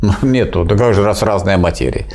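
An older man discussing philosophy in a relaxed academic conversation, teaching with questions and frequent fillers. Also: deep voice, brisk. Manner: didactic, questioning, with many fillers and restarts.

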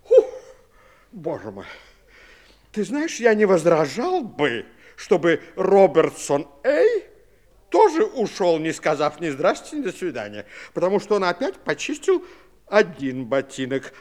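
A man's voice: a little high, wildly swooping pitch, unhurried, a little mellow. An actor playing a fussy, self-important, grumbling middle-aged husband and father in a 1960s radio play. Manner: self-important, fretful, theatrical.

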